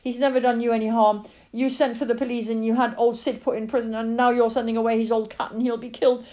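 A woman's voice: on the high side, wildly swooping pitch, moderate pace, warm tone, voice pushed up. A woman voicing an earnest young farm girl in a story, pleading and emphatic. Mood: angry, defiant. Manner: pleading, emphatic, tearful when upset.

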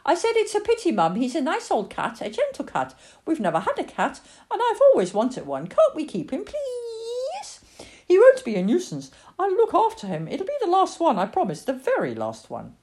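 A woman's voice: extremely high pitch, wildly swooping pitch, moderate pace, darkish tone, voice pushed up, full character voice. A woman voicing an earnest young farm girl in a story, pleading and emphatic. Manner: pleading, emphatic, tearful when upset.

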